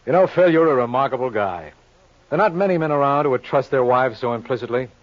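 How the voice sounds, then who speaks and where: A brisk, sardonic hard-boiled lawyer, played by a male actor in a vintage radio drama. He is glib and slangy, rattling out cocky wisecracks at speed. The sound is dull and lo-fi. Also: medium pitch, animated, natural voice. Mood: pressing. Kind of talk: old-time radio detective drama acting. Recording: dull lo-fi old radio recording.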